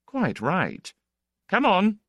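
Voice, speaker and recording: Flippant high-pitched worldly count, acted by a man. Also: wildly swooping pitch, extremely slow, a bit bright, no echo, clean studio sound, exaggerated voice. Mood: teasing, assured. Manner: flamboyant, urbane, teasing. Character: flippant, ironic, self-assured worldly male count. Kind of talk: audiobook character dialogue.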